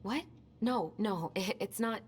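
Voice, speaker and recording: A woman's voice: mid-range voice, slow, close to an everyday voice. An actress playing a tired, anxious young woman in a naturalistic video game cutscene. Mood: defensive, weary. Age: young adult.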